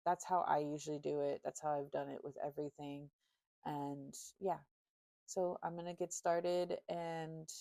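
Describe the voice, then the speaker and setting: A woman in her thirties chatting casually and relaxed, with easy fillers and light laughter, telling a storytime while beading. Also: deep voice, vocal fry, no echo, studio quality. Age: 30s. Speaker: crafter and vlogger. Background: faint chill music.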